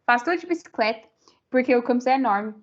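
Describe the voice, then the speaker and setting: A woman's voice: on the high side, expressive, fast. A young Brazilian woman with a Brazilian Portuguese accent, a university student chatting as a podcast guest, full of fillers and restarts. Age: young adult. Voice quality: many fillers, rambling restarts. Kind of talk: casual interview chat. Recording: slightly muffled audio.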